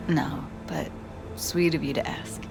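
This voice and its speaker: A woman's voice: low voice, steady tone, unhurried, gravelly, music in the background, heavily acted. A woman playing a tough, blunt, foul-mouthed young woman, fiery and raw with grief, in a video game. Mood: subdued grief.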